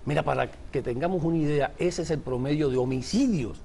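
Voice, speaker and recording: A man's voice: on the high side, fairly quick, vocal fry, mellow, slight background noise. A man from Cuba, an author and journalist, answering interview questions in a reflective, discursive way.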